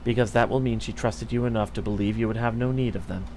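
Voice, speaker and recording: A man voicing a scheming courtier who speaks in a measured, insinuating way. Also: fairly low pitch, fairly even intonation, a little mellow, a little hiss or hum, close to an everyday voice. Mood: sly.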